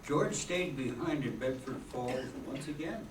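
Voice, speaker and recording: A man playing the calm storytelling narrator of a radio drama, reading scripted narration. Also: mid-range voice, measured pace, close to an everyday voice. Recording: noisy echoey room, far off.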